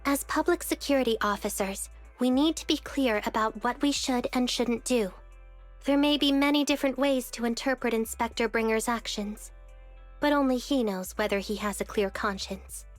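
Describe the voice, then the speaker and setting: A woman playing a principled, composed young public security officer in a video game, formal and measured like a teacher. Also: fairly high pitch, soft background music, natural voice. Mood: calm, resolute. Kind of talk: video game character acting.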